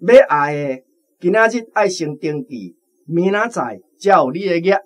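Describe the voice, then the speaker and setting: An actor with a Taiwanese accent playing a salesmanlike bird-company manager in a radio drama. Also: male voice, a little high, animated, moderate pace, heavy background noise, close to an everyday voice. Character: brisk bird-company manager selling chicks.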